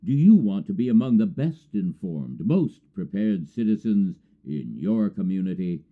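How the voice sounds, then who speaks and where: A man hosting a program, reading a scripted announcement in a deliberate, earnest promotional manner. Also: fairly low pitch, very animated, phone-quality sound. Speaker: program host and narrator.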